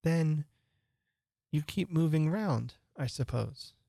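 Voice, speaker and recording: A woman's voice: low-pitched, animated, slow, vocal fry, mellow, no echo, studio quality, voice pushed up, full character voice. A woman voicing a polite, curious young girl who speaks earnestly. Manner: earnest, wondering.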